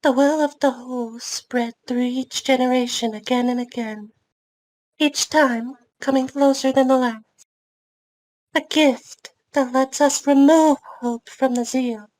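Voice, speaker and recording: Woman acting solemn mystical female figure, high voice, dry close sound, fantasy script read. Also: steady tone, measured pace, a little mellow, no room sound, rough recording. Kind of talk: amateur table read.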